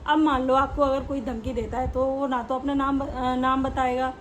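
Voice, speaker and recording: A woman's voice: sits high, little pitch movement, moderate pace, a little hiss or hum. A woman hosting a reaction video, giving casual, conversational opinion commentary in an explanatory way, mixing in English words.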